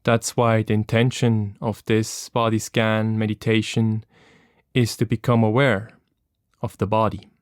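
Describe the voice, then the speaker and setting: A man with a smooth, clear voice guides a meditation at a very slow pace. His delivery is gentle and calm, leaving long pauses between instructions. His pitch is medium and the sound is close and dry. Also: no echo.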